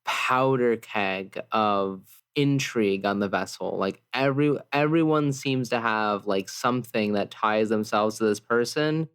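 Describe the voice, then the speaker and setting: A tabletop roleplay player casually narrating a character, halting and emphatic, often saying "like". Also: mid-range voice, clean tone.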